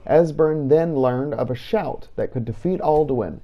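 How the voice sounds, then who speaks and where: A man's voice: fairly high pitch, a little slow, lo-fi sound. A man hosting a game playthrough, reading scripted narration. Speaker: host of a game playthrough series.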